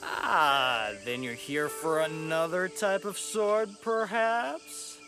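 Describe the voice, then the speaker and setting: An actor playing a cocky, flirtatious rogue thief in a video game, with a teasing, crude swagger and a bitter streak. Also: male voice, on the high side, takes it slowly, sharp tone, heavily acted. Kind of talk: dramatic video game dialogue. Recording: music playing behind the voice.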